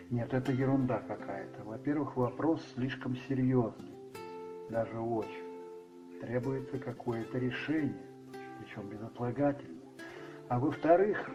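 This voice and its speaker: Male narrator for radio drama: theatrical and brooding, mid-pitched, slightly dull sound over music.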